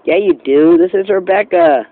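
A man's voice: high voice, moderate pace, no room sound. A man making a casual prank phone call in a pushy, insistent, teasing way, on a muffled phone recording. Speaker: prank caller.

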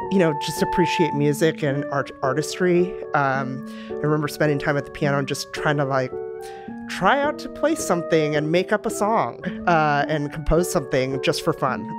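A middle-aged woman from Romania, answering interview questions in a reflective way with many fillers and restarts. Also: low-pitched. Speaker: guest, music company executive.

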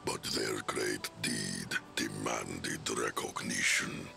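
An ancient stone giant guarding tombs in a fantasy game, speaking in slow, gravelly, subterranean solemnity. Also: male voice, music in the background, heavily acted. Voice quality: very deep, gravelly. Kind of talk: video game cutscene acting.

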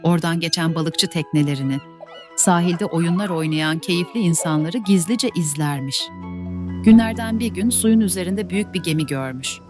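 Gentle woman reading fairy tales. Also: on the low side, moderate pace, music in the background. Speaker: storyteller. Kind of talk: scripted fairy-tale narration.

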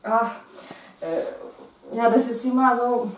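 Middle-aged poet, Russian accent, hesitant delivery, roomy sound from afar. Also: female voice, a little high, takes it slowly, a little hiss or hum. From Kazakhstan. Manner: hesitant, many fillers and restarts. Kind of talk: interview answers. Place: living room with piano, indoors. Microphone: far camera. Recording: echoey room from a distance.

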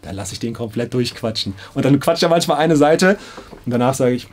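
A man's voice: medium pitch, lively intonation, brisk, darkish tone. A man in his thirties explaining casually to camera as an audiobook director, with an enthusiastic, slangy manner. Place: small indoor studio control room. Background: background music.

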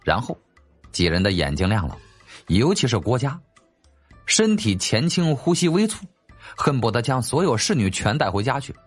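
一位男演播者用戏剧化又略带调侃的口吻讲述历史小说有声书。. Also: male voice, mid-range voice, big pitch swings, normal speed, slightly rough. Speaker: audiobook narrator. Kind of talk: dramatic storytelling narration of a historical novel. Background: faint background music.

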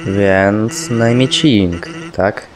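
A man playing a laid-back, slightly wary skeleton in a cartoon fan dub, speaking in a casual, low-key way. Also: extremely slow, a crowd in the background.

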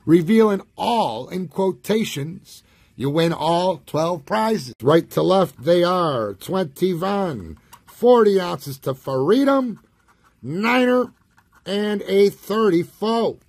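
This is a man with a scratchy, gravelly voice that sits high in his range. He talks unhurriedly, reading out numbers with odd little nicknames and tossing in profanity. The sound is close and dry. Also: expressive, darkish tone, no room sound. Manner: profane, wry, reads numbers slowly with nicknames. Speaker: lottery scratch-off vlogger. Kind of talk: casual profane commentary while scratching tickets. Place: indoors at a table.

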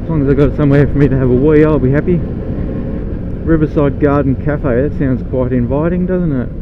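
A man's voice: a little high, a little fast. A man riding a motorbike and joking as he chats on a helmet intercom. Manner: joking, chuckles often. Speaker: motovlogger host. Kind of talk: casual helmet-cam commentary. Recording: muffled, loud wind noise.

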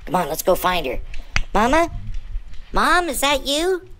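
A woman's voice: sits high, sing-song, unhurried, noisy background. A woman voicing a cheeky, eager pet pug who rambles as it gives a tour to its owners, in an improvised pet voice-over. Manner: rambling, eager, addresses owners as Mom and Dad.

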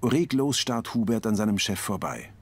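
A man reading scripted audio description in a measured, neutral way. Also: lowish voice, lively intonation, husky. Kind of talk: scripted narration.

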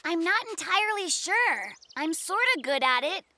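A performer voicing a hesitant, careful young polar bear cub in a cartoon. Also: male voice, very high-pitched, very animated, normal speed, pushed and bright, a little hiss or hum, heavily acted. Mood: earnest. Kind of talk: cartoon voice acting. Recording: music playing underneath.

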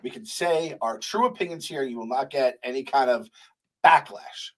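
A young adult man hosting a podcast, talking in animated, playful, swearing banter at a studio mic. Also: mid-range voice, moderate pace, rough recording. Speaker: podcast host. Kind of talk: casual chat. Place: studio room with poster wall. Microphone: large studio mic with headphones.

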